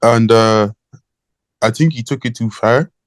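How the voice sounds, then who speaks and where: A man's voice: mid-range voice, dry recording. Hesitant Irish teenage boy on a call. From Ireland. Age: teens. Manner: hesitant, halting, with many fillers. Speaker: caller, interview guest. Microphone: phone call.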